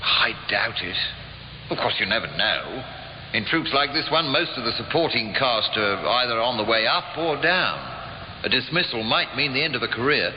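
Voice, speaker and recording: An indignant theatre man in a jail cell played by a man in a 1950s radio play. He is articulate and clipped, with very mobile pitch, in a roomy, muffled old recording. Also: big pitch swings, moderate pace, a little hiss or hum, lightly acted. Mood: puzzled, uneasy. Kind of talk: 1950s radio drama acting.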